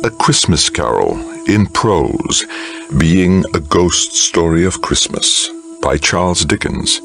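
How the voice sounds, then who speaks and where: Deep slow male announcer over music. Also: animated, creaky, a bit bright. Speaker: audiobook announcer. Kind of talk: scripted introduction.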